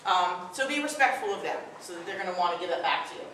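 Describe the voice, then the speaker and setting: A woman's voice: lowish voice, lively intonation, quick pace, sharp tone, a little hiss or hum. A middle-aged woman with a strong voice speaking firmly and directly to a live audience at a parenting workshop. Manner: firm, direct, conversational. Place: room with audience. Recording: echoey room from far off.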